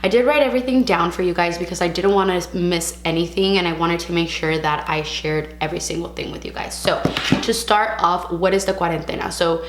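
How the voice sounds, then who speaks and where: A young woman talks frankly and casually in a slightly lower voice, a touch raspy from a sore throat, dropping in the odd Spanish word. Her voice bounces around an echoey room over a faint steady hum. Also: brisk. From California. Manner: chatty, frank, sprinkles in Spanish words. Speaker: vlogger. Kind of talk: casual vlog talk to camera.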